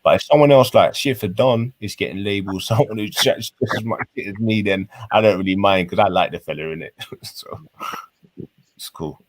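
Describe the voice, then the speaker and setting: A British man in his thirties in a heated debate, speaking in halting, insistent, repetitive bursts with sweary slang. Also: lowish voice, no room sound, rough recording. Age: 30s.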